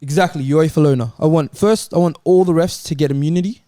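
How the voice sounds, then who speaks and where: A man's voice: on the high side, fast talker, dark tone, no echo, clean studio sound. A man on a football panel chatting casually, insistent and opinionated. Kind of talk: casual chat.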